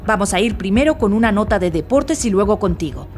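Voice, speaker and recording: An actress playing a determined, principled foreign investigative reporter in an audio drama, with an assertive, earnest delivery. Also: female voice, mid-range voice, animated, fairly quick, a little mellow, soft background music, natural voice. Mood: urgent. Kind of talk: Spanish audio drama acting.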